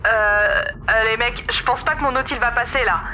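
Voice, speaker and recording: A woman's voice: a little high, moderate pace, sharp tone, slight background noise. A woman playing a feisty, sarcastic submarine pilot in a sci-fi audio drama, grumbling in informal speech. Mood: annoyed.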